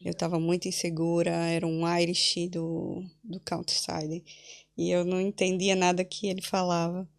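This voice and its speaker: Young brazilian woman, gravelly voice, lively story telling, laughs a lot on a podcast. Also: on the low side, moderate pace. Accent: Brazilian Portuguese. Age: young adult. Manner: animated storytelling with laughing asides. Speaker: guest. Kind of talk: casual podcast interview.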